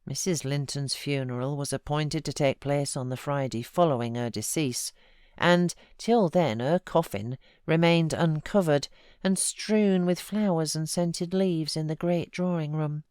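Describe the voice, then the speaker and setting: A woman with a British accent giving an expressive, measured literary narration as an audiobook reader. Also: sits low, clear, resonant tone, dry recording, clean studio sound. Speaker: audiobook narrator, voiceover artist.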